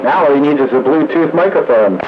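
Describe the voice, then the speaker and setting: Male radio operator, slightly high voice, relaxed chat, dull transmission. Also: brisk. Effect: two-way radio transmission. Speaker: amateur two-way radio operator. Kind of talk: casual radio banter. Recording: muffled, noisy two-way radio audio.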